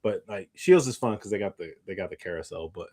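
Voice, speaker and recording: A man's voice: sits low, expressive, quick pace, a little mellow. A tired man hosting a podcast, chatting casually in a rambling way and often backtracking mid-sentence. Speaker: podcast host. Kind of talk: casual chat.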